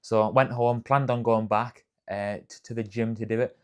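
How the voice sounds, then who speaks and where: A young man rambles along in easy vlog chat, picking up and dropping his sentences. His voice sits fairly low, and a clip-on mic catches him close. The sound has the slight echo of a cold garage. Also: fairly even intonation, normal speed, clean tone. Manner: chatty, rambling, self-correcting. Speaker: fitness vlogger. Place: outdoors by a busy road; cold garage gym, echoey.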